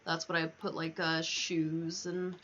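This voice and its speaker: A woman's voice: deep voice, little pitch movement, takes it slowly, a bit bright. A woman livestreamer chatting casually as she plays a video game, thinking aloud and muttering to herself. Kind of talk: casual chat while playing a video game. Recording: dull lo-fi mic, clear background noise.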